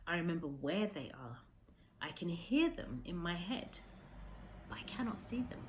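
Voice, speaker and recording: A woman voicing a wise, patient old Japanese man in a storybook, speaking halting, simple English. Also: high voice, very animated, a little hiss or hum. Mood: wistful. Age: older.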